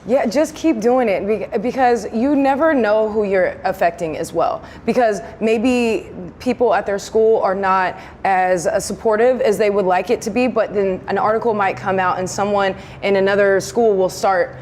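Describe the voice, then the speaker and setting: A woman's voice: mid-range voice, normal speed, few pauses, a little mellow, a little hiss or hum. A woman guest giving heartfelt, encouraging advice in an interview.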